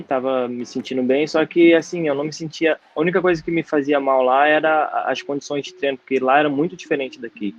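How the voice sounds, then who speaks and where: Easygoing young Brazilian man, lo-fi. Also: mid-range voice. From Brazil, Brasília. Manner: easygoing, slangy. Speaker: guest footballer. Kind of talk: casual interview chat. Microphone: video call.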